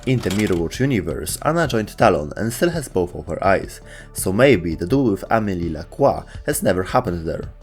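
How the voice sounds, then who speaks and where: A man's voice: deep voice, very animated, fairly quick. A man reading scripted narration for a video game lore explainer. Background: music.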